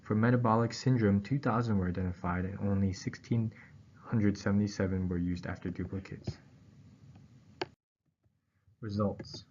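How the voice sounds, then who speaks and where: A man reads a scripted academic presentation aloud in a formal, halting way, pausing between phrases. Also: on the low side, steady tone, a little mellow. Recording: dull, muffled audio with background noise.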